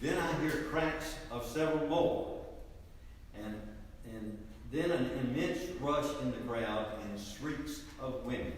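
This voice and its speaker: Earnest male eyewitness acted by a man, middle pitch, formal phrasing, noisy echoing hall. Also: slow, close to an everyday voice. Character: earnest 1870s eyewitness recounting a riot. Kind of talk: dramatic reading of testimony. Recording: echoey noisy hall, distant mic.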